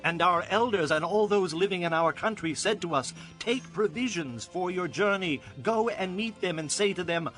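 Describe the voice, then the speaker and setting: A man's voice: sits high, normal speed, hardly any pauses, fairly bright tone, soft background music. A man playing a humble, wily envoy posing as a weary traveler, pleading in a dramatized audio Bible.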